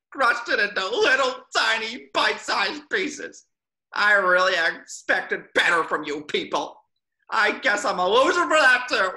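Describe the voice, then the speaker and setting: A man's voice: high-pitched, bright, forceful tone, reverberant, heavily acted, poor audio. A man voicing a gloomy, exasperated squid band leader in a cartoon table read, whiny and melodramatic. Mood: bitter.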